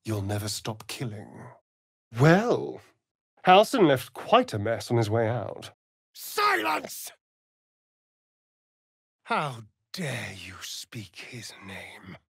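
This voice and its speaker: A sly vampire rogue from a fantasy video game, speaking. His delivery is theatrical and sardonic, swinging widely in pitch as he draws out his words unhurriedly. The voice has a husky edge. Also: huge pitch swings, drawn-out words, long pauses, a bit bright, no echo, studio quality, heavily acted. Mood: bitter, then shouting, furious. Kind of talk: video game character voice lines.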